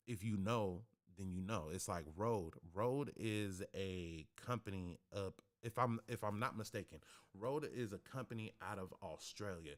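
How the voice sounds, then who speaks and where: A man with a deep, bassy voice talks straight into a studio microphone in his home studio. His speech is blunt, streetwise and full of cursing and slang, with a low-pitched voice. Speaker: livestream host. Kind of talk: casual livestream talk. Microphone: studio podcast microphone.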